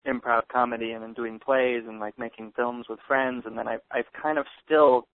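A man's voice: medium pitch, fairly even intonation, moderate pace, no echo. A young man talking casually as an interview guest, rambling with many fillers and restarts. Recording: tinny phone-like line.

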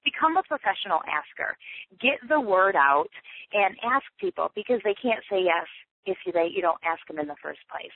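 A woman coaching her sales team over a tinny phone line, and the sound is flat and dry with no room around it. She talks in an emphatic, chatty way and drives each point home by repeating it. Her voice sits at an ordinary middle pitch. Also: normal speed, slightly rough, no echo. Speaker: direct-sales team leader. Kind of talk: motivational sales training talk. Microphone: phone call.

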